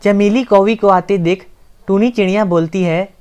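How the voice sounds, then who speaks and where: A woman's voice: medium pitch, moderate pace, warm tone, clear, resonant tone. A woman narrator reading a scripted children's story.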